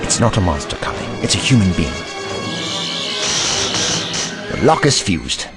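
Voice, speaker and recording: An actor playing an urgent, protective alien doctor and investigator in an audio drama, articulate and authoritative. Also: male voice, deep voice, sing-song, fast talker, over loud music. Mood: commanding.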